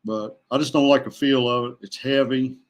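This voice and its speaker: A man in his sixties chatting casually as a podcast guest, folksy and halting, often repeating himself. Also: mid-range voice, fairly even intonation. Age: 60s. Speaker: guest, veteran kayak angler. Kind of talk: casual fishing chat.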